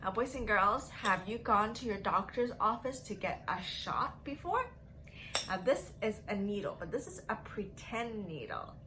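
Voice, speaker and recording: A woman's voice: mid-range voice, expressive, a little slow, bright, forceful tone, a little hiss or hum, low-quality recording. A young woman leading a lesson for small children in a sing-song, exaggerated manner, asking questions and giving praise. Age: young adult. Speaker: children's story time teacher. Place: small furnished room at home.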